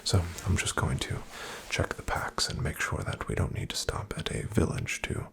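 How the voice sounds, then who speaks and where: A man in an ASMR roleplay voicing a calm, careful paladin travel companion, softly whispering to the listener. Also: normal speed, natural voice. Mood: calm hushed. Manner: soft, gentle whispering to the listener.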